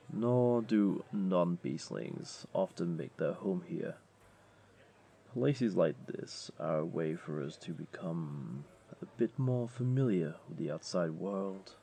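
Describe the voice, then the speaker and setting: A man playing a flirty, comforting jaguar beastling in an intimate audio roleplay, gently coaxing the listener along. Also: sits low, vocal fry, a little hiss or hum, full character voice. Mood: calm, explaining. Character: flirty, comforting male jaguar beastling, patient restaurant owner.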